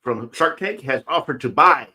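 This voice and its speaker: A man with a bright voice that moves up and down talks in a casual podcast chat. He mostly agrees and adds short remarks. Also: mid-range voice, expressive, normal speed. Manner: agreeable, chiming in briefly.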